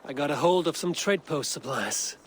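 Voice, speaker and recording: Guy doing a steady viking warrior for a game, slightly high for a man, terse, outdoor noise behind. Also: moderate pace, natural voice. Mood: friendly, direct. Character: steady, courteous Viking warrior, adult, resolute. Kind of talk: video game character dialogue.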